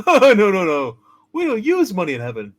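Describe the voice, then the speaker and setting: A man's voice: high voice, huge pitch swings, a little fast. A man voicing a kindly, fussy, eccentric old guardian angel in a hammed-up radio play reading, with chirpy, theatrical politeness. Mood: fussy, chiding. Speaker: channel co-host.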